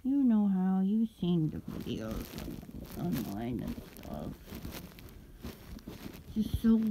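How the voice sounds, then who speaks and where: A woman playing a sleepy, pouty young streamer roommate in an intimate ASMR roleplay, mumbling drowsily with drawn-out words and affection under the grumbling. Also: mid-range voice, creaky, exaggerated voice. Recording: close recording with background rustling noise. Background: fabric rustling.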